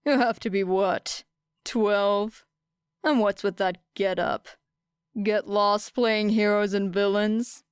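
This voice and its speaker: Gruff, evasive street tough played by a woman, curt and muttering, unhurried delivery, clean dry audiobook sound. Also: medium pitch, steady tone, no room sound, close to an everyday voice. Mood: scornful. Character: gruff irritable man, street vigilante, evasive and foul-mouthed. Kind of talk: audiobook character dialogue.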